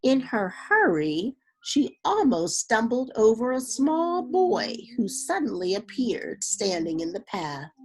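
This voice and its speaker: A woman reading a children's story aloud in an expressive, dramatic way with unhurried phrasing. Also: fairly high pitch, low-quality recording. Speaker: storybook reader.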